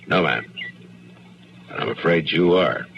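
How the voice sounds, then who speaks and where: Steady, courteous American Old West lawman; extremely low voice, understated, dull vintage radio recording. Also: takes it slowly, vocal fry, heavily acted. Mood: apologetic, steady. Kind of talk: old-time radio western acting. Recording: muffled old radio broadcast, faint noise.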